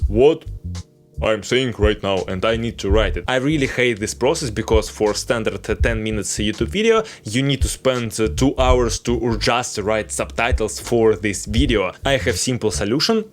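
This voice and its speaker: A young man whose first language is Russian, speaking English with a Russian accent, ranting in a frustrated, opinionated tech vlog full of fillers and short laughs. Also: medium pitch. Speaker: video editing YouTuber.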